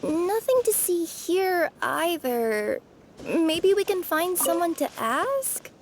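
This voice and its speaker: Blunt little cat courier in a video game, super high voice, really bouncy. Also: female voice, sing-song, slow pace, a little hiss or hum, full character voice. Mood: curious, upbeat. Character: feisty sentient female cat courier, blunt but helpful. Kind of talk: animated game dialogue.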